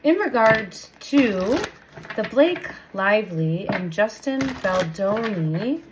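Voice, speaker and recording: A woman's voice: mid-range voice, lively intonation, slow, background noise. Chatty woman tarot reader, getting over a cold. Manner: chatty, meandering, repeats phrases. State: recovering from a cold. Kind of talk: casual tarot reading monologue.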